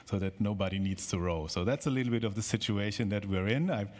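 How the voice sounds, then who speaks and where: A man speaking up from the audience at a conference, halting with many restarts and joking asides. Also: fairly low pitch, a little mellow. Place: conference hall.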